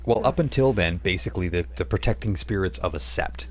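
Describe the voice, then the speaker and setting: Deep-voiced game master, rambling. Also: male voice, expressive, brisk, crowd noise. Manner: loose, rambling scene-setting with casual asides. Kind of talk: narrating a tabletop game.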